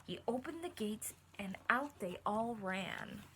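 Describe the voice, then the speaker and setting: Female teacher for picture-book read-aloud, bright tone, chatty asides to children, some noise behind. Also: a little high, lively intonation, slow. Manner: addresses listeners directly with questions. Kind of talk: animated read-aloud with asides to young listeners.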